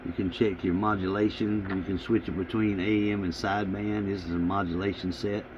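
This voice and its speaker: Low-voiced man, muffled homemade recording. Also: little pitch movement. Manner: halting, rambling, plain-spoken. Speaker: hobbyist vlogger showing radio gear. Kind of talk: casual unscripted equipment walkthrough. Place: small home radio room, indoors. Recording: muffled lo-fi audio with background noise.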